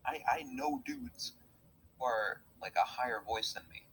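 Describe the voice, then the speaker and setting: A man's voice: medium pitch, unhurried, fairly bright tone, a little hiss or hum, low-quality recording. A man in casual online commentary, reading out quotes and making his points. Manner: reads out quotes and argues points.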